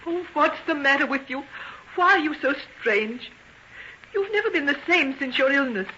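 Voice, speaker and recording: A dull, faintly noisy old radio recording features a tender, anxious young fiancée. Her voice is bright and of middling pitch, with an earnest, pleading, melodramatic delivery. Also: lively intonation, moderate pace. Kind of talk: old-time radio drama acting.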